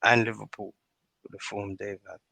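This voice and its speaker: A male podcast guest discusses football casually and colloquially. His voice is fairly low but bright and sharp, with a dry sound. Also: flat delivery, normal speed, gravelly, no echo, poor audio.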